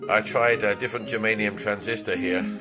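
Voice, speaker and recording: Low-voiced man giving a casual demo. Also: fairly even intonation, fairly quick, over loud music. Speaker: radio repair technician demonstrating a converted car radio. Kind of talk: casual unscripted product demonstration.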